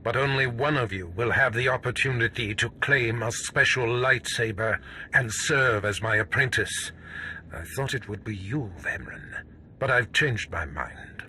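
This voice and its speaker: An actor playing a stern, scheming Sith lord in a video game, speaking in a measured, imperious, menacing manner. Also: male voice, mid-range voice, bright, forceful tone, a little hiss or hum. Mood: coolly commanding. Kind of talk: scripted video game drama.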